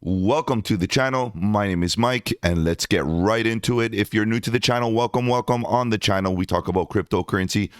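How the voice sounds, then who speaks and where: A man's voice: brisk, hardly any pauses, clear, resonant tone, dry recording, studio quality. A man who is losing his voice, hosting a crypto channel and giving upbeat market commentary to his viewers. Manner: upbeat salesy pitch, addresses viewers as guys. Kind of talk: market analysis commentary over screen charts.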